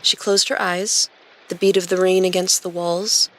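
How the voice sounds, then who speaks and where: A woman's voice: lowish voice, level tone, normal speed, a bit bright. A woman reading scripted story narration as a podcast narrator and host.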